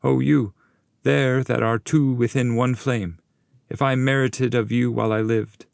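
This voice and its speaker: A man's voice: medium pitch, flat delivery, unhurried, vocal fry, darkish tone, dry recording, clean studio sound, pitched above the performer's own voice. A man voicing a wise, commanding ghostly poet guide in stately, instructive and formal verse. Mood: commanding appeal. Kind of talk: dramatic audiobook reading of epic verse.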